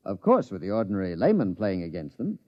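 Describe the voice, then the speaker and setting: A man's voice: low voice, huge pitch swings, fast. An actor playing a polite, precise male scientist who hesitates but stays determined, in a 1950s radio drama. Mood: earnest. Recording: muffled vintage radio recording.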